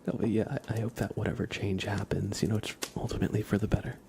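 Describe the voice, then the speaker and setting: A man hosting an ASMR livestream in a soft, hushed voice that often nears a whisper, chatting and reading in a laid-back, gently joking way with halting pauses. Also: on the low side, flat delivery, gravelly, slight background noise. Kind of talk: ASMR, casual chat and tarot reading for viewers.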